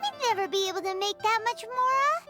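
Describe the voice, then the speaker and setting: Squeaky little game fairy, childlike n blunt, swoops all over, loud jingly music behind. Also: female voice, a little fast. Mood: exasperated. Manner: excitable, blunt, refers to self in third person. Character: small excitable childlike female fairy mascot companion, blunt. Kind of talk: video game voice acting. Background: tinkling game music.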